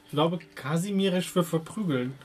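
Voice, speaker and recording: A woman's voice: fairly low pitch, moderate pace, darkish tone, soft background music. A woman with a German accent bantering sarcastically in character during a casual tabletop role-playing game.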